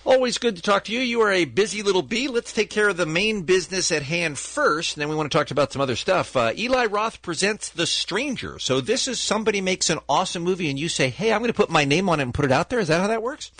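A man hosting a morning radio show, bantering and interviewing in a quick, playful, sometimes sarcastic way. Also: high voice, animated, a bit bright, no echo.

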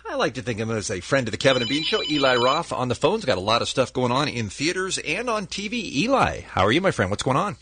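A man hosting a morning radio show, bantering and interviewing in a quick, playful, sometimes sarcastic way. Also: mid-range voice, big pitch swings, sharp tone, husky, no echo.

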